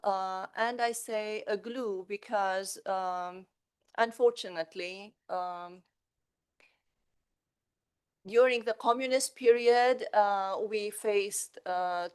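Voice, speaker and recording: A woman from Romania whose first language is Hungarian, an English teacher, introducing herself slowly on a panel with frequent pauses. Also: mid-range voice, smooth. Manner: slow, measured, pausing with fillers. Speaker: secondary school English teacher.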